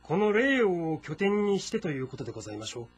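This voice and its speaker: Deferential ancient court adviser: slightly high, husky, measured and polite, game drama. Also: male voice, very animated, soft background music, full character voice. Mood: cautious. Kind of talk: dramatic audio-novel game acting.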